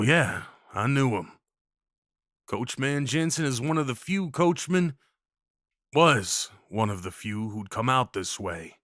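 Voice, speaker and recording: Audio roleplay: male actor as rugged, kind Old West cowboy, folksy drawl, unhurried, clear-edged bright tone. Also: fairly low pitch, no room sound, clean studio sound. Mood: wry, grim. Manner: folksy drawl, gentle and reassuring. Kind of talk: roleplay audio drama acting.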